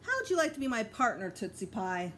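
Storybook painter, male, played by a woman, besotted and cooing, stretched-out baby talk, animated pitch, slight noise. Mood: delighted. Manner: drawn-out, cooing.

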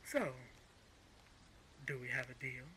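An actor playing a smug, menacing male crime boss in an audio roleplay, speaking in a mocking, condescending and deliberate way. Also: mid-range voice, expressive, bright, forceful tone, slight background noise, rough recording. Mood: coolly menacing. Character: smug, menacing male crime-organization villain, coolly coercive. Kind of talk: scripted audio roleplay villain acting.

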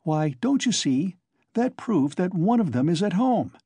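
A man voicing a cheerful, quick-witted young man in an audiobook, ringing and insistent. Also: high-pitched, sing-song, dark tone, breathy edge, no room sound, pitched above the performer's own voice, heavily acted. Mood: urgent, insistent.

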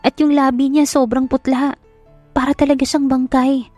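Woman playing gossipy makeup lady, dismayed murmury exclaiming, highish voice, audio drama. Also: level tone, moderate pace, a little mellow, no echo, soft background music, natural voice. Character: gossipy, dismayed female bridal stylist.

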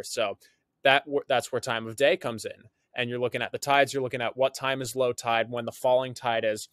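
A man's voice: medium pitch, no echo. A young man, co-hosting a birding show, explaining at ease over a video call from his living room, with plenty of fillers. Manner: relaxed, loose, lots of fillers. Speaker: birding podcast co-host. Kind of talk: casual explanatory chat. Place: living room with framed pictures. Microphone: video call webcam.

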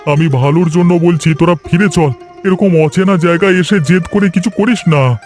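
A man's voice: high voice, normal speed, darkish tone, faint music behind, natural voice. A man voicing a timid, anxious young man in a horror cartoon, nervous and pleading.

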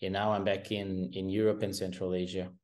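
A man speaks in a fairly low voice over a video call from a room at home. He talks in a hesitant way, full of fillers and false starts. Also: little pitch movement, a little fast, a little mellow. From Portugal. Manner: hesitant, many restarts and fillers. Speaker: venture capital guest. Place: home room with painting behind.

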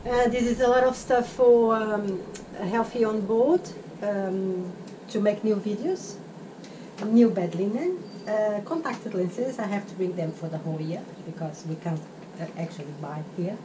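A woman's voice: medium pitch, a little slow, recorded from a distance, noisy background. A middle-aged woman chatting casually in a sailing vlog, showing things to a handheld action camera. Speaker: sailing vlogger. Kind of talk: casual travel vlog chat. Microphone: action camera held close.

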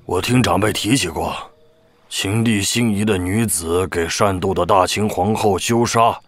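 An actor playing a shrewd old military governor, husky, measured and wry, in a wuxia audio drama. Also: male voice, fairly low pitch, no echo, lightly acted. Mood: reflective storytelling. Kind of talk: Chinese wuxia radio drama acting.

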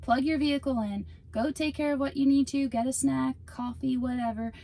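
A woman's voice: sits high, fairly even intonation, a little fast, vocal fry, dark tone. A young woman chatting upbeat to a phone camera held at arm's length in a parked car. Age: young adult. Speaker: vlog co-host. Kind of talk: casual vlog talk.

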